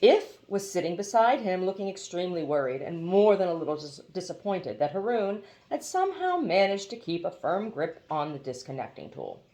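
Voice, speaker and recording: A woman narrating a children's novel aloud as an expressive audiobook reader. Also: fairly low pitch, moderate pace, few pauses.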